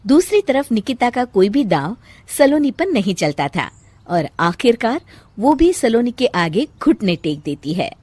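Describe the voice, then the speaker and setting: Animated, high-voiced woman narrator. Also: measured pace, breathy edge, no echo. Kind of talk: scripted cartoon story narration.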